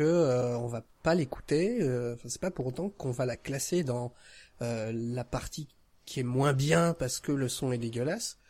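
A man talks casually as a guest on a podcast. His voice has an average pitch for a man, and his wording is informal and slangy, as in an everyday chat. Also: darkish tone. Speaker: podcast guest and audio drama creator.

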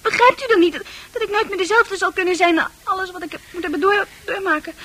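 A woman's voice: extremely high pitch, quick pace, fairly bright tone. A woman playing a bewildered young psychiatric patient in a radio drama, anguished and stammering as she repeats herself. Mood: anguished, pleading.

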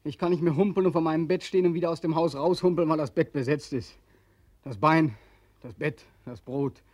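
Schauspieler als verzweifelter junger Heimkehrer, dunkle Stimme. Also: male voice, a little high, fairly quick, a little mellow, no room sound, lightly acted. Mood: desperate. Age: young adult. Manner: weary, pleading, clipped repetitions. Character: despairing, exhausted 25-year-old returned soldier. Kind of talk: 1940s German radio play acting.

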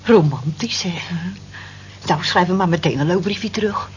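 A woman's voice: lowish voice, expressive, normal speed, close to an everyday voice. An actress playing a nosy, romantic female workmate who exclaims and prods, in a radio drama. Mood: delighted, prodding. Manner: exclaiming, prodding. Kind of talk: Dutch radio drama acting. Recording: muffled, lightly noisy old radio recording.

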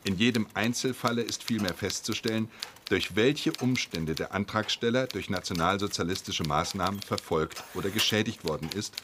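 Man playing a low-voiced, officious bureaucrat. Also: moderate pace, background noise, lightly acted. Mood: dry, dictating. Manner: pedantic, formal, stern. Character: officious, self-righteous male compensation-office bureaucrat. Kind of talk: German radio drama acting.

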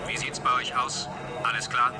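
An actor playing a brisk, businesslike ground controller giving clipped commands in a radio play about spaceflight. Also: male voice, mid-range voice, level tone, over music, close to an everyday voice. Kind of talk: radio drama acting, clipped mission commands.

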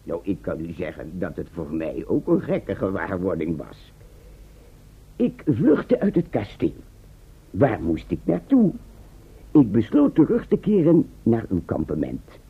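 An actor in a Dutch radio play playing an eccentric, self-assured time traveller who explains patiently and checks that listeners follow. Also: male voice, low voice, wildly swooping pitch, unhurried, slightly rough, full character voice. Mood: storytelling. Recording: muffled, band-limited old radio recording.

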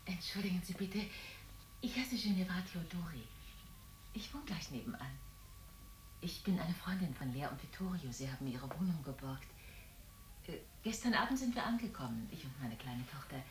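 A woman's voice: on the low side, animated, moderate pace, soft background music, natural voice. An actress playing a tired, chatty mother from next door in a radio play, rambling and confiding. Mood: apologetic, polite. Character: tired, chatty neighbour, single mother of a teenage daughter.

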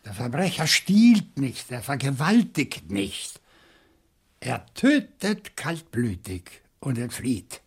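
An actor playing a calm, probing questioner in a German radio crime drama, speaking deliberately and insistently. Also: male voice, mid-range voice, slightly rough, full character voice. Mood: grave, deliberate. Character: calm, probing man questioning a suspect, Monsieur Fougère.

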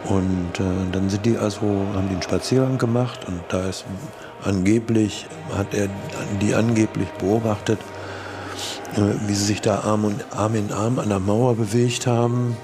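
A man with a fairly low voice recounts an anecdote in an interview. He halts and searches for words as he goes, and music plays clearly underneath. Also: steady tone, moderate pace. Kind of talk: unscripted anecdotal recollection.

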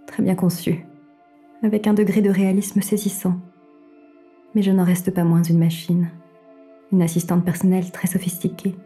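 An actress playing a devoted female AI assistant in a robot body, soft-spoken and politely formal in a French audio drama. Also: on the low side, close to an everyday voice. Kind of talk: French audio drama acting, intimate dialogue.

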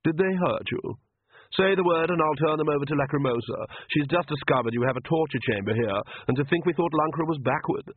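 A man playing a suave, condescending young vampire aristocrat in an audiobook, speaking slowly and with polish. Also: fairly high pitch, phone-quality sound, slight background noise, voice pushed up, close to an everyday voice. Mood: smooth, gallant.

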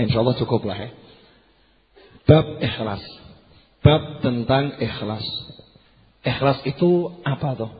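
A slightly hoarse man giving a religious lecture to a congregation, conversational, repeating key phrases and putting questions to his listeners. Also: medium pitch. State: slightly hoarse after losing voice. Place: large hall at a religious gathering. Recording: muffled PA with long hall reverb.

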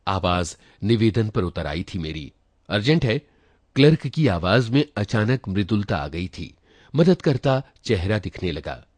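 A man narrating a literary short story in an expressive reading style. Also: lowish voice, a little slow, dry recording. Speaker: story reader.

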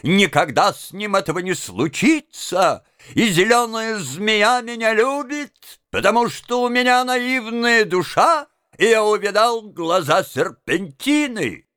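A man's voice: high voice, sing-song, unhurried, dry recording, clean studio sound, voice pushed up. A man voicing a naive, dreamy young student who declaims earnestly and fervently in an audiobook reading. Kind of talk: dramatized audiobook reading of a classic tale.

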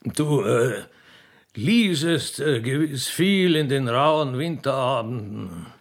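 An actor playing a genial, condescending emperor in disguise in a radio play, hesitant and patronising. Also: male voice, mid-range voice, expressive, slow pace.

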